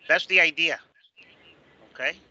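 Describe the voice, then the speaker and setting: A man's voice: a little high, takes it slowly, pushed and bright. A man teaching English online, explaining a dialogue and often repeating words as he searches for the next. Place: online video class, close dry mic. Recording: dull lo-fi online class audio.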